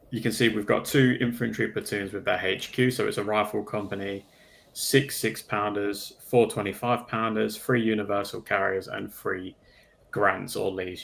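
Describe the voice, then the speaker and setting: A man's voice: fairly low pitch, flat delivery, measured pace, creaky, fairly bright tone, slight background noise. A man talking casually in a livestream panel discussion, going into detail in a pedantic way. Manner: detailed, self-confessedly pedantic.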